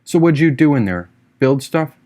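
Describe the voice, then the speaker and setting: A man's voice: a little high, animated, normal speed, darkish tone, close to an everyday voice. A man voicing a free-spirited, teasing young woman in an audiobook, with a breezy, irreverent manner. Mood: curious, playful.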